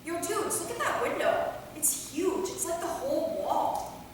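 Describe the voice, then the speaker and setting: A woman's voice: extremely high pitch, animated, unhurried. A woman playing a cocky, goofy immortal vampire troublemaker in a staged reading, joking and slangy. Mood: bored, flippant. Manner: joking, slangy, self-amused. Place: theater stage, recorded from a distance. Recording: very echoey hall, far mic, noisy.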